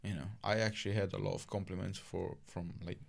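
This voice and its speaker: A man's voice: low voice, steady tone, fairly quick, gravelly. A young man from Italy with an Italian accent, hosting a casual podcast chat in a chatty, teasing way. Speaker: podcast host. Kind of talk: casual conversation.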